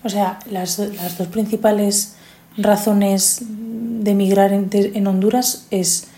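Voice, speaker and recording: A woman interviewer asking calm follow-up questions and summarizing what she hears. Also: mid-range voice, slow pace. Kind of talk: conversational questions. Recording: echoey room.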